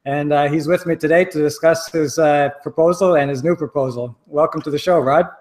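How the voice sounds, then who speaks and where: A man hosting an interview show, relaxed and pausing often with fillers. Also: on the high side. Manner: relaxed, with frequent "uh" pauses. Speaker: host.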